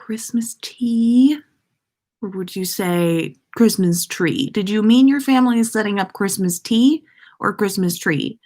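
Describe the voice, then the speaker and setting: Slow-paced American woman chatting casually. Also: medium pitch, darkish tone. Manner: playful, rambling. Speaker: podcast livestream host. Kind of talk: casual livestream chat with viewers.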